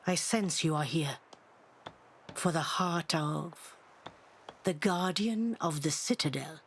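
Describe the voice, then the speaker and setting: Proud elder seer woman, fantasy game; lowish pitch, deliberate pace, haughty and mysterious. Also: slight background noise. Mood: ominous, proud. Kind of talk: fantasy game dialogue acting.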